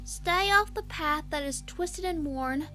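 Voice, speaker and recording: A woman's voice: very high-pitched, slow pace, sharp tone, soft background music, full character voice. A woman voicing a stubborn, uneasy young country pony filly in a fan audio drama. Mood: eerie reciting.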